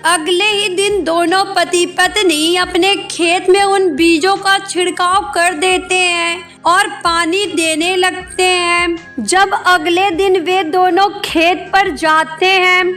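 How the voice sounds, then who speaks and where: Very high, bright woman storyteller. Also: little pitch movement, slow pace, few pauses. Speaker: story narrator. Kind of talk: scripted children's cartoon story narration. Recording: music behind voice.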